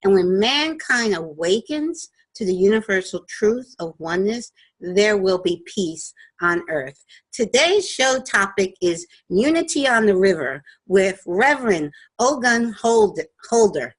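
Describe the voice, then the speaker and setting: Female host, mid-pitched, earnest and eager; online radio interviewer. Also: sing-song, measured pace, husky. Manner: earnest and enthusiastic, with scripted-sounding openings.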